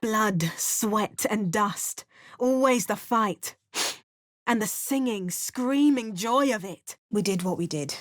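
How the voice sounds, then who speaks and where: A woman's voice: medium pitch, expressive, takes it slowly. A woman voicing a wry, battle-hardened adult adventurer who makes dry, sardonic asides and narrates her journey. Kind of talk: video game character barks and narration.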